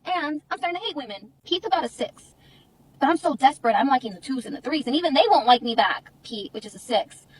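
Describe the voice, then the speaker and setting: A woman's voice: high-pitched, lively intonation, quick pace, low-quality recording. A woman ranting in a casual vlog, exasperated and complaining.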